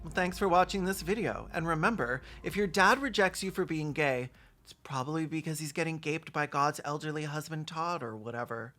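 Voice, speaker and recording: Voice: medium pitch, a little fast, a bit bright. A video host giving sarcastic, crude comic commentary in a dry, snarky manner. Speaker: host of a reaction video show.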